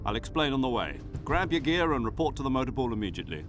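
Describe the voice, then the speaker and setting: An actor playing a businesslike wartime intelligence agent in a video game, speaking with brisk authority. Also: male voice, medium pitch, very animated, music in the background, natural voice. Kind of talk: dramatic game cutscene dialogue.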